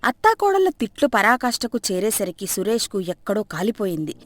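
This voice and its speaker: A woman's voice: medium pitch, very animated, a little fast. A woman narrating a comic moral story as a scripted storyteller.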